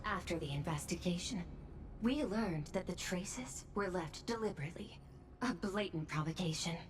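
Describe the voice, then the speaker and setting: An actress playing a cool, logical official with a clinical, formal and exact way of speaking. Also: female voice, lowish voice, normal speed, slight background noise, lightly acted. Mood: questioning, formal. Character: precise, analytical female official, cool and logical. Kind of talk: dramatic game voice acting. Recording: music behind the voice.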